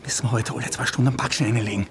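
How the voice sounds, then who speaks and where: An actor playing a bossy robber ringleader with a Viennese accent, commanding and urgent in a radio drama. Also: male voice, mid-range voice, steady tone, very fast, background noise, natural voice. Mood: tense instructing. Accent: Viennese Austrian. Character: bossy male robber ringleader giving orders under pressure. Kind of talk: radio drama acting, colloquial dialect.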